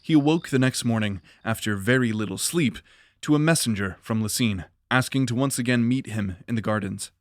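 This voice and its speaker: Male narrator for a fantasy audio drama, steady and even. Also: medium pitch, fairly quick, no room sound, studio quality.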